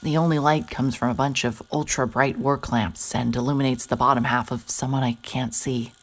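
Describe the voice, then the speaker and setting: Very low-voiced, wry female detective. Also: fairly even intonation, moderate pace. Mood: weary, wry. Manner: muttering to self, wry, terse. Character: hard-bitten female private investigator, wary and sardonic. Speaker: lead character and narrator. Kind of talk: cinematic horror audio drama, first-person noir narration.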